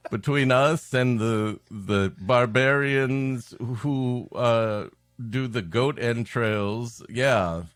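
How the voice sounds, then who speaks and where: A man co-hosting a comedy podcast, riffing casually with halting, deadpan asides. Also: medium pitch, smooth, dry recording, clean studio sound.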